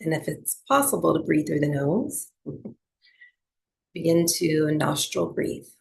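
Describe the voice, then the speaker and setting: Female meditation guide: very unhurried, gravelly edge, soft soothing instruction for breathwork and rest. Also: low-pitched, very animated. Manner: soothing, gentle, unhurried instruction with long pauses. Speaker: yoga and breathwork teacher.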